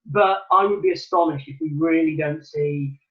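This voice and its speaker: A man's voice: on the high side, moderate pace, lo-fi sound. A man from Newcastle giving thoughtful, earnest answers as a theatre producer in a remote interview, with many ums and restarts. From Newcastle, England.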